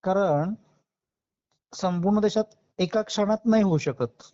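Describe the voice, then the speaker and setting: A woman's voice: medium pitch, measured pace, dark tone. A woman questioning and challenging someone persistently in an informal debate over the phone. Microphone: recorded phone call. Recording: dull, dry lo-fi call recording.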